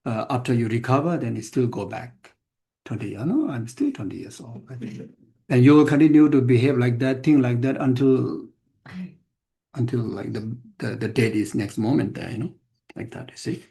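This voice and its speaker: Older man teaching, gravelly and mellow. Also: mid-range voice. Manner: halting, repeats words and restarts phrases often. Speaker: Buddhist teacher. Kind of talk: dharma teaching to an audience.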